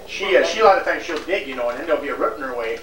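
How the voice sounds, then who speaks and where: A man's voice: a little high, expressive, rapid-fire, a bit bright, a little hiss or hum. A man in casual family conversation. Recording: distant, echoey home tape.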